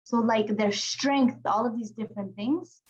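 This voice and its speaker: A woman's voice: fairly high pitch, measured pace, muffled recording, slight background noise. A woman facilitating an online youth check-in, affectionate and encouraging, praising each young speaker in turn. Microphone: video call.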